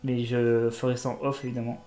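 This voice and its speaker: A man's voice: medium pitch, little pitch movement, a little fast, dark tone, roomy sound. A man with a cold commenting casually on a video game as he plays, joking and talking to himself and the characters. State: has a cold. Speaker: gaming YouTuber. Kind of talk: casual let's-play commentary.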